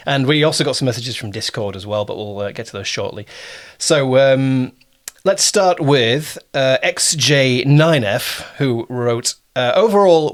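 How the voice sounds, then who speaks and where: A man with a mid-pitched voice hosts a conversational interview. He talks in a relaxed, earnest way and readily pays compliments to his guest. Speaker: producer and interviewer.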